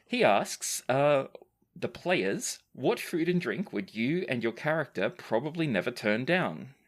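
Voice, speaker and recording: A woman's voice: a bass voice, big pitch swings, measured pace. A woman hosting and moderating a casual podcast question session as its game master.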